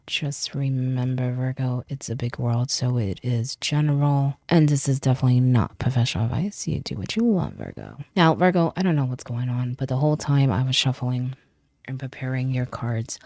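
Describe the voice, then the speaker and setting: A woman with a cold giving a casual, chatty tarot reading, her voice stuffy and often dropping to a soft half-whisper. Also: rumbling low, no room sound. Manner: casual and chatty, with frequent laughs and fillers. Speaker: tarot reader.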